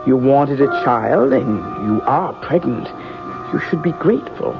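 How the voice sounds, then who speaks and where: A brooding, portentous fortune teller in a radio mystery. His voice is gravelly and deliberate. Music sits behind him on a muffled broadcast. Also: medium pitch, very animated. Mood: somber reproach. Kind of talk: 1970s radio drama acting.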